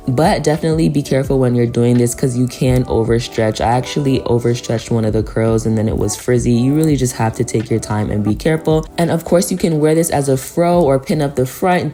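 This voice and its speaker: A woman's voice: rumbling low, dark tone. A woman giving a casual, chatty tutorial voice-over, speaking to viewers in an encouraging way. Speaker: hair tutorial vlogger.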